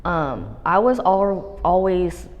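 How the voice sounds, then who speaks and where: A woman's voice: fairly low pitch, animated, slow pace, highs cut off. A young woman from Los Angeles as an interview guest, laid-back and candid, swearing casually and using lots of likes and ums. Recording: long room reverb.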